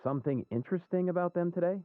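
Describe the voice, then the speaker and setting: A man's voice: high voice, fast talker, no room sound, narrow, tinny audio, close to an everyday voice. An actor playing an easygoing, teasing, practical male friend in a video game, casual and wry. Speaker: game character. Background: music.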